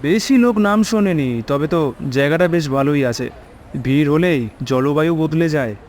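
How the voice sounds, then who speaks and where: Man acting a breezy, higher-voiced male friend. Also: moderate pace, darkish tone, a little hiss or hum, lightly acted. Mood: breezy, reassuring. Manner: casual, persuasive. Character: breezy, reassuring young male friend in a cartoon.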